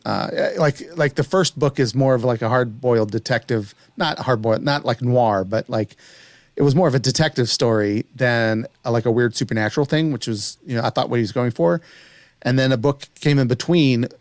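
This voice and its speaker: A man's voice: medium pitch, fairly quick, a little mellow, dry recording. A man co-hosting a podcast, chatting casually about shows in a conversational way. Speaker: podcast co-host.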